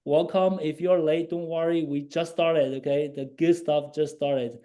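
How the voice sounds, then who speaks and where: A man's voice: fairly high pitch, fairly even intonation, measured pace, mellow. A man hosting a webinar over a video call, making casual announcements and often checking in with "okay?". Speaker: webinar host.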